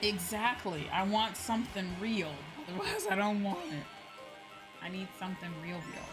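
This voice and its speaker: A woman with a medium-pitched voice chats casually on a gaming livestream. She is opinionated and emphatic and swears freely. Game music plays clearly behind her. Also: expressive, normal speed. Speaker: streamer, VTuber host. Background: video game music.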